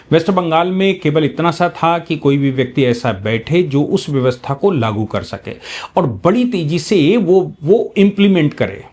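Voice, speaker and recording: A man speaks as a legal commentator, in a voice pitched slightly higher than most men. He explains current affairs in a teaching style, stressing his points firmly and often repeating a phrase to make it land. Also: darkish tone. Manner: emphatic, repeats phrases for emphasis.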